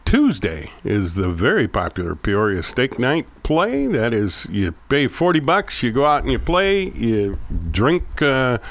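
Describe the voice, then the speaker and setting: A man's voice: medium pitch, huge pitch swings, unhurried. Nasal man with a head cold. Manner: folksy, loose, with fillers. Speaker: radio golf show host. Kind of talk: casual radio chat and announcements.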